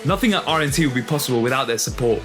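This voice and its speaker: A man hosting a podcast episode and interviewing his parents in an upbeat, lively way. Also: medium pitch, racing, soft background music. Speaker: podcast host.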